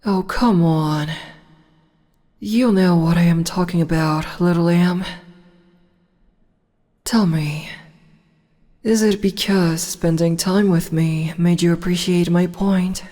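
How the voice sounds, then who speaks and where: A woman voicing a slow, haughty demon empress. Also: on the low side, fairly even intonation, warm tone, in a large hall. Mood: teasing. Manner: condescending, slow and teasing. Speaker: voice actress. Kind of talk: ASMR audio roleplay.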